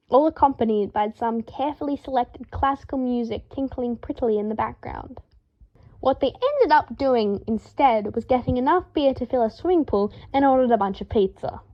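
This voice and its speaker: A woman reading a story aloud in a lively, expressive way, acting out the dialogue. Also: on the high side, muffled recording. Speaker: fan fiction reader.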